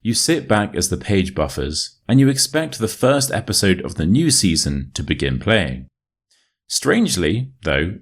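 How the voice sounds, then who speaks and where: A man with a modern RP British accent reading a short story as an English teacher, in a measured, clearly enunciated way. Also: deep voice. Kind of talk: scripted story narration for listening practice.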